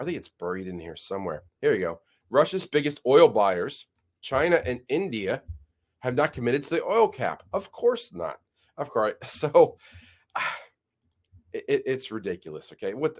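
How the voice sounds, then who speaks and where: A man hosting his own channel, talking casually through news while sharing his screen. He sounds a little nasal from a head cold and often stops and restarts his sentences. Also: medium pitch. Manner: rambling, halting restarts. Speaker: channel host. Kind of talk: casual screen-share commentary.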